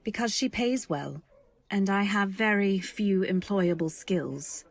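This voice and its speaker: A woman's voice: medium pitch, animated, slow pace, gravelly, no room sound, full character voice. Woman acting a demure, wistful young companion, dry close sound. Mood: candid. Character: modest, earnest young female companion, quietly candid. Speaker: narrator and heroine. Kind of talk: first-person narration in old-time radio drama.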